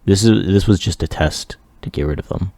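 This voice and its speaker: A man giving a casual software demo walkthrough, offhand and self-deprecating as he thinks aloud. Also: deep voice, brisk, dry recording. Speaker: 3D artist presenting a project.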